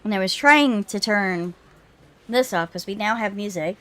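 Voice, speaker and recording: A woman's voice: medium pitch, moderate pace, a bit bright. Phlegmy-throated woman chatting on a livestream. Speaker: streamer hosting a visual novel readthrough. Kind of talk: casual livestream chat.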